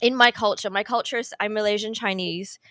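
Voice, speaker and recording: A woman's voice: medium pitch, steady tone, brisk, sharp tone. A tearful woman, talking casually as a podcast guest, with lots of fillers and laughter mixed in. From Australia. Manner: chatty, many fillers, laughs through emotion. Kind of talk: casual interview chat. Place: relative's house, indoors.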